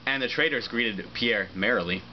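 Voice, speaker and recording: A man's voice: fairly low pitch, moderate pace, a crowd in the background. A man reading a children's storybook aloud to friends, adding joking asides. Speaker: reader of a children's picture book. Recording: muffled amateur recording with loud background noise. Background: friends talking and laughing over noise.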